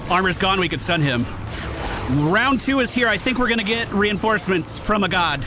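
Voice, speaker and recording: A man's voice: sits high, animated, moderate pace. A man giving casual, chatty commentary while he plays a video game, now and then swearing or laughing. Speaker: gaming video creator. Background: game music.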